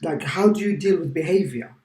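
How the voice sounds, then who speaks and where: A man's voice: on the high side, a little fast. A British-born man who is a teacher, talking in a casual interview and often checking in with "right?". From United Kingdom. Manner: animated, keeps checking in with "right?". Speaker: teacher, guest. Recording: echoey room.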